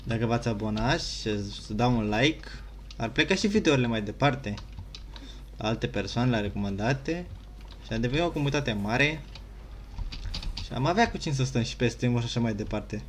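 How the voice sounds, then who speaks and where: A man's voice: medium pitch, lively intonation, a little fast, a little hiss or hum. A man doing casual, slangy let's-play commentary as a gaming YouTuber. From Romania. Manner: informal, slangy.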